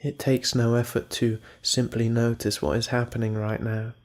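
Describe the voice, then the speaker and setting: A man leading a guided meditation in a calm, measured manner, leaving pauses between phrases. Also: medium pitch.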